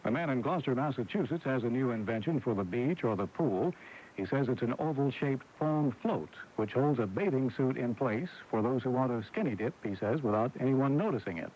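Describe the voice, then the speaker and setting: A man's voice: medium pitch, expressive, fairly quick. Radio newsman on a dull, hissy recording. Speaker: radio news anchor. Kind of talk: scripted network radio news reading. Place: radio studio.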